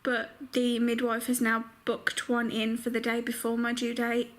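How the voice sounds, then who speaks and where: A young, heavily pregnant woman chatting casually to her camera at home, full of filler words, her voice croaky. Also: on the high side, completely flat delivery, normal speed. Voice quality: croaky morning voice. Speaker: vlogger. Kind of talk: casual vlog chat. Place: home bedroom.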